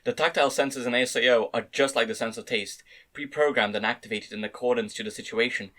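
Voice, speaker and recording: A man's voice: medium pitch, steady tone, fast talker, a bit bright. A man narrating a first-person story as an amateur dramatic reading. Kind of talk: dramatic reading of fan-read light novel narration.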